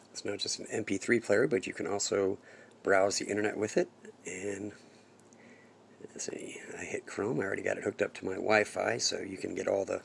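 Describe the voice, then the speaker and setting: Gravelly, low-voiced man reviewing a gadget. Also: a little hiss or hum. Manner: halting, listing features one by one. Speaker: product reviewer. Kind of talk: casual unscripted product demo.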